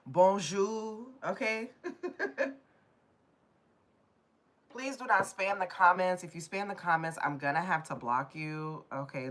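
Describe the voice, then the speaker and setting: A woman's voice: sits low, a bit bright. A woman with a stuffy, nasal voice from a cold, chatting casually as she hosts a live tarot stream. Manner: chatty, rambling, addresses viewers directly. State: getting over a cold.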